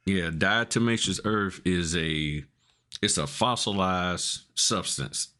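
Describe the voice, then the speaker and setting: Deep-voiced American man chatting. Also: slow pace, sharp tone. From Florida, United States. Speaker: podcast co-host. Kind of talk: casual chat.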